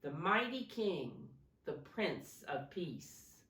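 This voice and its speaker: A woman reads a picture book aloud to preschoolers like a teacher, expressively and deliberately, addressing the young listeners directly. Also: fairly low pitch, sing-song, fairly bright tone. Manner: slow, deliberate, pausing to ask young listeners questions. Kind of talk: expressive children's storybook read-aloud. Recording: roomy echo, distant microphone.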